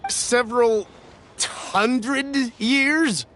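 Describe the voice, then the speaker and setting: A man's voice: very high voice, drawn-out words, pushed and bright, exaggerated voice. A man playing an anxious, cautious, deferential young buyer in a video game, speaking in an earnest, flustered way.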